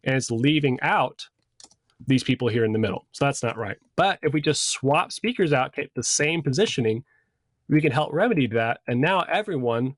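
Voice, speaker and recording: A man's voice: medium pitch, no room sound. A man in his thirties giving a casual, conversational lesson as an instructor, talking through slides. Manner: conversational, informal. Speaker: sound system design instructor.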